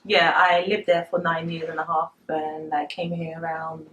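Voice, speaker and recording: A woman's voice: deep voice, level tone, moderate pace, fairly bright tone, a little hiss or hum, low-quality recording. A woman in her twenties from the Democratic Republic of Congo, a native Lingala speaker, speaking hesitantly and reflectively as an interview guest. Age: 20s. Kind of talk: conversational interview, personal recollection.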